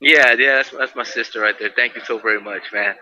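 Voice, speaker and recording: A man's voice: mid-range voice, steady tone, fairly quick, bright, forceful tone. A man chatting casually and effusively as a call-in guest, slangy, repeating himself and often saying bro and man. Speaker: call-in guest phoning from prison. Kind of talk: casual phone chat. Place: prison, on a phone or video visit call. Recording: dull lo-fi phone call with hiss.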